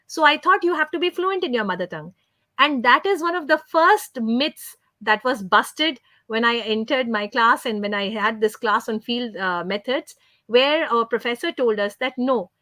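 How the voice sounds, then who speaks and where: A woman's voice: fairly high pitch, bright, forceful tone, no echo. A middle-aged woman from India speaking as a panelist in an online discussion, talking conversationally and often correcting herself mid-sentence.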